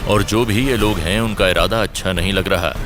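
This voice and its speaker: Deep-voiced, level-headed warrior companion. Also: male voice, moderate pace, a bit bright, soft background music. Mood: cautious, resolute. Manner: cautioning, resolute. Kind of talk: Hindi audio drama acting.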